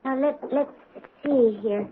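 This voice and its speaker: Young bride-to-be, high girlish voice, sweet, dreamy, earnest, radio drama, thin lo-fi broadcast. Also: slow, slight background noise. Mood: searching. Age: young adult. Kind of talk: 1970s radio drama acting.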